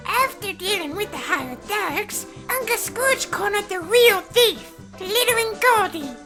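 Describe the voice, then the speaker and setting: An actor voicing an excitable young cartoon duck nephew who tells stories earnestly and eagerly in a fan comic dub. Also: very high-pitched, measured pace, music in the background. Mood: earnest storytelling. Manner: earnest, enthusiastic storytelling.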